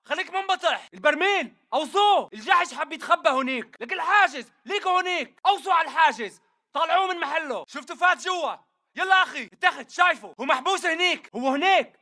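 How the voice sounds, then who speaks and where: An actor voicing a hostile militant fighter in a video game, barking urgent combat callouts in Levantine Arabic. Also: male voice, very high-pitched, lively intonation, fast, sharp tone, full character voice. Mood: aggressive, urgent. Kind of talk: shouted video game battle chatter.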